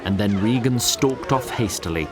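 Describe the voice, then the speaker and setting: A man's voice: fairly low pitch, expressive, normal speed, a loud crowd. A man narrating a scripted fantasy audio drama in a storyteller's tone with wry asides. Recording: loud music behind the voice.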